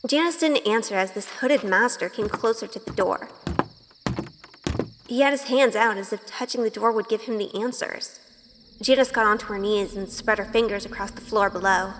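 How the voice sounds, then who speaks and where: A woman's voice: medium pitch, normal speed, a bit bright, slight background noise, close to an everyday voice. An actress playing an innocent, anxious young woman newly among witches, with an earnest, polite, timid manner in an audio drama. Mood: narrating. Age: young adult. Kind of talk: audio drama acting with first-person narration.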